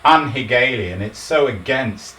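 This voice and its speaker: A man's voice: mid-range voice, lively intonation, a little slow, bright, forceful tone. A man hosting an interview podcast, asking probing, emphatic questions. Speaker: podcast host. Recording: echoey room, distant mic, faint noise.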